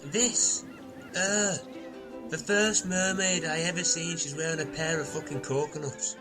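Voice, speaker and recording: A man's voice: fairly high pitch, fairly even intonation, a little slow, close to an everyday voice, low-quality recording. A man playing a blunt, sarcastic, grumbling castaway in a comedy audio sketch. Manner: blunt, sweary. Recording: music behind, blown-out homemade audio.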